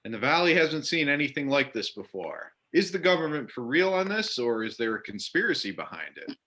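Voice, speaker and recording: A man acts as a sensational late-night radio host in a readers theater play. The delivery is forceful and bright, with dramatic hype. The pitch sits in the middle. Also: sing-song, brisk. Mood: hyping. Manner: hyping, dramatic.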